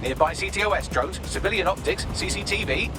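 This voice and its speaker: A British man playing a cheeky, sardonic, excitable AI hacker assistant in a video game, with a gleeful, sweary delivery. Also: high voice, fairly quick, over loud music. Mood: brisk, businesslike. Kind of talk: video game mission dialogue.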